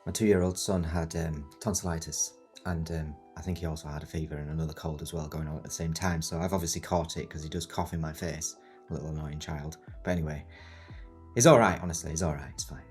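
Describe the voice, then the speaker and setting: A man in his thirties hosting a video tutorial, croaky from a cold, talking in a rambling, joking, self-mocking way. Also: rumbling low, fairly even intonation, fast, a little mellow, faint music behind. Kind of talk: casual chatty tutorial. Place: home studio set in a new house.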